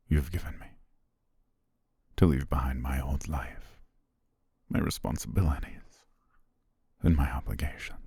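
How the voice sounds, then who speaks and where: A man voicing a devoted male butler marrying his princess, speaking formally in a soft, half-whispered way that hints at quiet control. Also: a bass voice, breathy edge, dry recording, clean studio sound, heavily acted. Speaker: ASMR roleplay voice actor. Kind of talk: intimate ASMR roleplay monologue.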